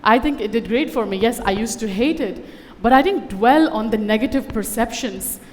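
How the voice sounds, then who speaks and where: A woman's voice: fairly high pitch, expressive, normal speed, in a large hall. A woman who grew up in Dubai, giving a witty, conversational personal talk on a conference stage with wry asides. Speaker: conference speaker. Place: conference stage before an audience.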